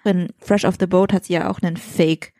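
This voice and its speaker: A woman's voice: on the low side, steady tone, a little fast, mellow, no room sound, studio quality. A woman hosting a podcast interview, asking probing questions and affirming her guest. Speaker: podcast host.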